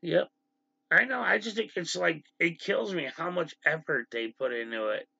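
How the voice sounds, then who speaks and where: A man with a strongly gravelly, fried voice and a bright, forceful edge talks casually to his livestream audience, exasperated and animated. He sits close to a dry mic, and the stream audio sounds a little dull and lo-fi. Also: on the high side, no room sound. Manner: animated, exasperated, quick asides to the stream chat. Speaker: livestream host.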